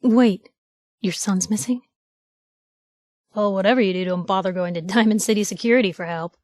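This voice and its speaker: An actress playing a feisty, wry young newspaper reporter in a video game, with an American accent and a conversational, sardonic delivery. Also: female voice, medium pitch, a little mellow, no echo, studio quality, lightly acted. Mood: concerned. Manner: sardonic, conversational, quick-witted asides. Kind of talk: video game companion dialogue.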